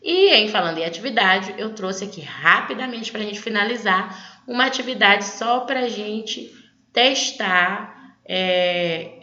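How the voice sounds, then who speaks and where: A woman's voice: medium pitch, sharp tone. A Brazilian woman giving an online grammar lesson as a language teacher, explaining didactically and often checking that students follow. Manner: didactic, checks understanding with short tag questions. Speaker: Spanish teacher. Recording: echoey room, slightly dull audio.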